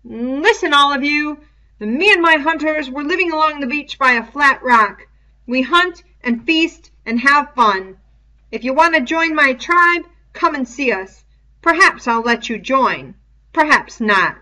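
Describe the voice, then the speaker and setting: Storybook boy chief played by a woman: boastful, commanding, raised high pitch, forceful tone. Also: lively intonation, unhurried, exaggerated voice. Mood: loud, boastful. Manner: loud, commanding declaiming. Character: bossy, boastful savage boy chief in war paint. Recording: dull, muffled lo-fi home recording.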